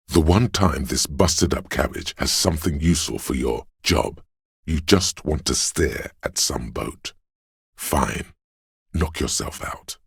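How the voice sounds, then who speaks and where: A man voicing an erudite inner voice of encyclopedic knowledge in a video game, narrating lore in a measured, dryly sardonic manner with a gravelly, husky tone. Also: extremely low pitch, no room sound, studio quality, heavily acted.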